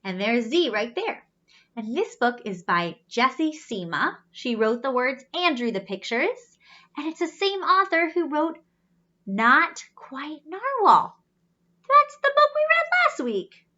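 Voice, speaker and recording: Animated, high-voiced woman reading to children. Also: measured pace. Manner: teacherly, explains pictures, asks questions. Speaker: children's storybook reader. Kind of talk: animated read-aloud storytelling with asides. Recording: slightly dull, lo-fi sound.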